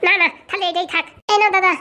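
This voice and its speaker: A performer voicing a scheming, sharp-tongued mother in a comedy cartoon, pushy and scornful. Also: extremely high pitch, fairly even intonation, fast talker, full character voice. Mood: brisk, haggling.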